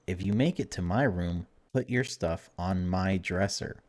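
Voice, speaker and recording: A man's voice: sits low, unhurried, darkish tone. A man giving a casual, chatty let's-play, reading game text aloud and adding wry asides. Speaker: video game streamer.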